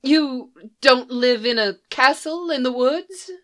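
A woman's voice: sits high, slow, fairly bright tone, pitched above the performer's own voice. A woman voicing a haughty, prim enchantress who scolds with lofty indignation in a storybook reading. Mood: huffy.

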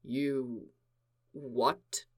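Woman voicing stern reticent man, low, hushed, clipped. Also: slow pace, no room sound. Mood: stunned. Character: guarded stern grown man, terse.

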